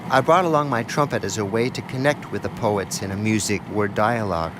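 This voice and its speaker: A man's voice: lowish voice, fairly quick. An American man reading a first-person scripted documentary narration. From United States. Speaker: narrator, radio documentary author. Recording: clear outdoor and car background noise.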